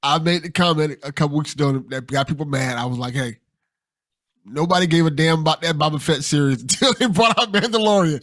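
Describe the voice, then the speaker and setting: A man's voice: fairly high pitch, fairly quick, fairly bright tone. A man hosting a podcast, talking in a casual, conversational style. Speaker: podcast host.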